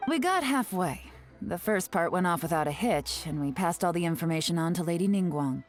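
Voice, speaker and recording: A cool female spy, voiced by a woman, with a lowish, even voice. She is composed and wry, laying out her schemes with quiet confidence. Faint music hums beneath her in this game scene. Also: brisk, natural voice. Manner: composed, wry, self-assured. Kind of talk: game cutscene dialogue.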